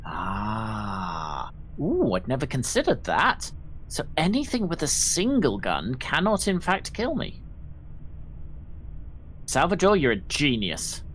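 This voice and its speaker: A man's voice: medium pitch, takes it slowly, a little hiss or hum. A man playing a tabletop role-playing game, speaking expressively and joking in his character's voice. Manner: comic, expressive. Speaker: player. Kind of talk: casual tabletop role-play.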